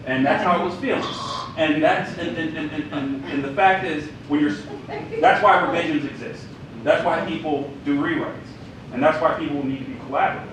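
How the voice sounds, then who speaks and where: Emphatic man in a big echoey room. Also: fairly quick. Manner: emphatic, repeating himself. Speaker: writing group collaborator. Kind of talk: panel comment. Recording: echoey room from far off with rumble.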